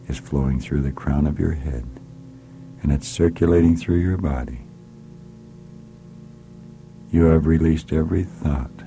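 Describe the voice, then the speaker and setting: Male relaxation guide, very low voice, slow hushed delivery with whispered stretches, music underneath. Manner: soft, hushed, often sliding into a whisper; slow, soothing, repetitive commands.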